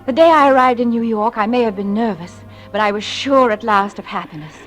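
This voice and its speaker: A woman's voice: on the high side, a little fast, soft background music, natural voice. A woman playing an anxious, fearful young mother in 1940s radio drama, with an earnest, tremulous, dramatic delivery on an old recording. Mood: anxious confiding. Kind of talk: 1940s radio drama acting, confiding narration.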